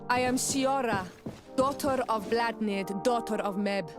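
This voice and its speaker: A woman's voice: fairly high pitch, measured pace, music in the background, lightly acted. A woman playing a proud young native clanswoman in a video game, speaking formally and insistently as her clan's emissary.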